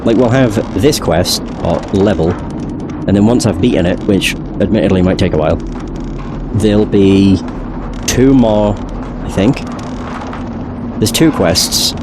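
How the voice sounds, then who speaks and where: A man streaming a game he has never played, chatting casually and now and then swearing, his voice still deeper than usual as he recovers from illness. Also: a little slow, long pauses, background noise. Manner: chatty, relaxed, occasional swearing. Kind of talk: casual gaming commentary. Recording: game music playing behind.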